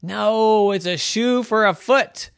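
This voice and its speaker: Calm knowing farm horse from a storyteller, matter-of-fact, everyday voice, dry close sound. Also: mid-range voice, takes it slowly, vocal fry, clear, resonant tone, dry recording, voice pushed up.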